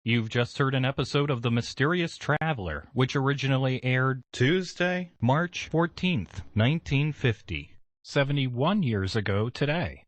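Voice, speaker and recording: A man's voice: medium pitch, lively intonation, moderate pace, dry recording. A man giving a scripted radio announcement in a precise, formal manner.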